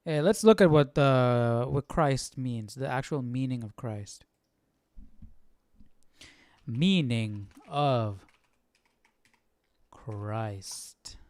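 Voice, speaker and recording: A man's voice: medium pitch, extremely slow, pauses often, a little mellow, smooth, dry recording. A man hosting a livestream study, thinking aloud in a casual, questioning way. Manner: questioning, musing. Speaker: host of Bible study livestream.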